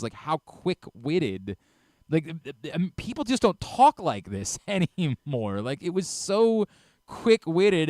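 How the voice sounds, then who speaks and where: A man's voice: fairly high pitch, a little mellow, no room sound, clean studio sound. A man hosting a sports radio interview, casual and appreciative as he talks with his guest.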